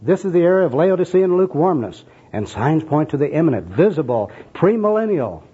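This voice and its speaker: A man's voice: on the high side, sing-song, brisk, dark tone, husky, voice pushed up, full character voice. A man voicing a jargon-spouting religious zealot in a comic skit, florid, exclamatory and insistent. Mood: fervent, insistent. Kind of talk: comic skit acted out in a sermon. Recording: dull, muffled lo-fi recording.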